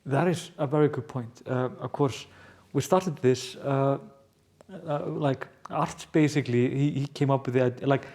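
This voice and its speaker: A man's voice: mid-range voice, steady tone, moderate pace, a little mellow. An Icelandic man with a strong Icelandic accent, co-hosting a podcast and telling a story casually, with many restarts. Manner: hesitant, many restarts, laughs often. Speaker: podcast co-host, journalist.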